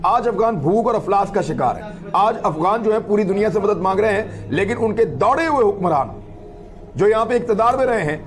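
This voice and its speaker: A man gives conversational news commentary as a host, speaking emphatically with repeated phrases and rhetorical questions. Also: high-pitched.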